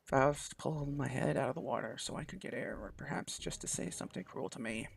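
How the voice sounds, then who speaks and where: A man's voice: mid-range voice, creaky, a bit bright. A man hosting a let's play, reading a visual novel aloud dramatically and gushing about it between passages.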